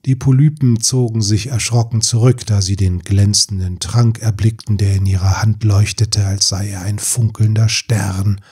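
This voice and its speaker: Low, husky male fairy-tale narrator. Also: level tone, moderate pace, few pauses, a little mellow.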